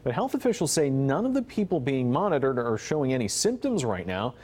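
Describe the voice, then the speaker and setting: A man's voice: medium pitch, big pitch swings, a little fast, a little mellow, slightly rough. An American man anchoring a newscast, reading stories and bantering casually with a co-anchor. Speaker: news anchor.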